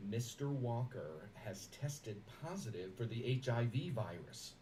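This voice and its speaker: A man's voice: medium pitch, animated, measured pace, mellow, recorded from a distance, a little hiss or hum, lightly acted, rough recording. A man playing a scheming, smug businessman who fakes concern with unctuous sincerity in a one-sided phone monologue. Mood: feigned shock. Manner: unctuous false sincerity, theatrical asides. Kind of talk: dramatic monologue, one side of a phone call.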